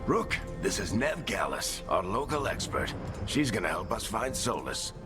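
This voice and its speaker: A man's voice: lowish voice, big pitch swings, moderate pace. A man playing a wry, seasoned fantasy adventurer who leads his companions in a video game, with a dryly joking manner. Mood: wry, assured. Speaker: team leader. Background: loud dramatic music.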